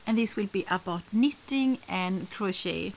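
A woman's voice: mid-range voice, unhurried, vocal fry, a little hiss or hum, poor audio. A middle-aged woman from Sweden with a Swedish accent chats casually to camera as a podcast host, often searching for words and rephrasing.

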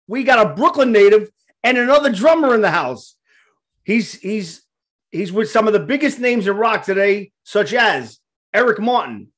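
A higher-pitched man in his fifties talks with a New York accent, full of streetwise, joking banter as he hosts. He speaks into a headset on a video call from a home studio. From Queens, New York. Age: 50s. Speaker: host. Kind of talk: casual interview chat.

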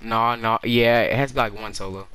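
A man of Jamaican family chatting casually with a teammate while playing a shooter game, shouting in fights and mumbling between them. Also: mid-range voice, brisk, clear, resonant tone. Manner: loud and excitable during fights, mumbly between. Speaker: gamer. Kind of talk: casual gaming chat.

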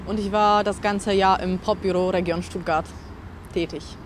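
A woman's voice: mid-range voice, fairly even intonation, moderate pace. A woman answers interview questions fluently, hesitating only briefly now and then. Her voice sits in the middle range. Faint noise runs under the recording. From Poland.

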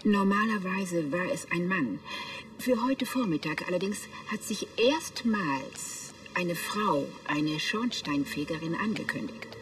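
A woman's voice: lowish voice, animated, a little slow, noisy background. Prim older lady, slightly distant. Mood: explaining. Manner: formal, precise, prickly. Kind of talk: TV crime comedy acting.

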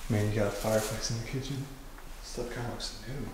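A man's voice: lowish voice, brisk, background noise. Young man exploring an echoing empty mansion. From Oklahoma. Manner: excited, slangy, laughs often. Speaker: urban explorer vlogger. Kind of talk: casual walkthrough narration. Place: large empty unfinished house, echoing rooms. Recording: very echoey empty rooms.

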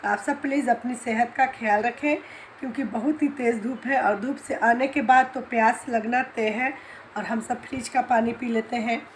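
A woman in her thirties from Jharkhand, India, her voice hoarse from a cold, chatting homely and direct to viewers as she vlogs at home and outdoors on a phone held at arm's length. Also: fairly high pitch, steady tone, normal speed, a little hiss or hum. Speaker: vlogger. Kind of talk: casual vlog to camera. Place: home and nearby street, indoors and out.

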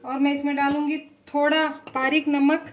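Chatty high-voiced woman cooking at home. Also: flat delivery, normal speed, noisy background. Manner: chatty, repeats "look" and blessings often. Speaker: cooking channel host. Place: home kitchen, indoors.

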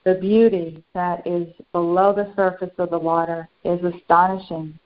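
Slow, halting woman channeling a serene spiritual master. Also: fairly low pitch, level tone, natural voice. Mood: serene, reverent. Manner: slow, halting short phrases with long pauses. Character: serene, benevolent ascended master St. Germain addressing followers. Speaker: channeler and meditation guide. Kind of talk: guided meditation. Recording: dull lo-fi sound.

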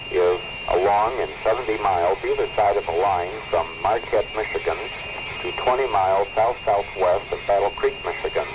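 A man reading scripted weather bulletins as a radio announcer, in formal, measured phrases. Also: sits low. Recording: muffled, noisy 1970s weather radio recording.

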